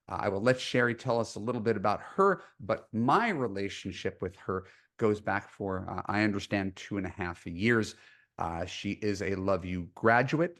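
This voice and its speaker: A man hosting a coaching call as a dating coach, speaking in measured, deliberate phrases. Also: fairly low pitch, a bit bright. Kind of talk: podcast intro and coaching call.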